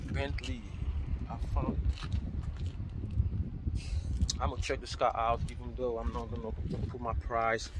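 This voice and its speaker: Thirties man, chatty selfie car-lot vlogger, mid-pitched voice, outdoor phone audio with noise behind. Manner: excited, chatty, exclaiming over cars. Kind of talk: casual selfie vlog. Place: outdoor car auction lot and inside parked cars. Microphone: phone camera at arm's length.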